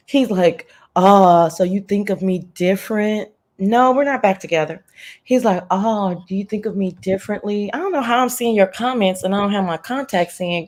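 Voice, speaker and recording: A woman chatting casually as a livestream host, telling gossip in a slangy, profane, animated way and often wandering off on tangents. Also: mid-range voice.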